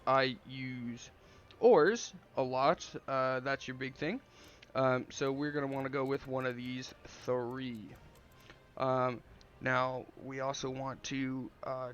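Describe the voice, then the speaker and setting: A man with a slight cold giving relaxed, rambling gameplay commentary over a strategy game, full of ums and uhs. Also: mid-range voice, slight background noise. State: has a slight cold, sniffling. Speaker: gameplay video creator. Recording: dull lo-fi audio.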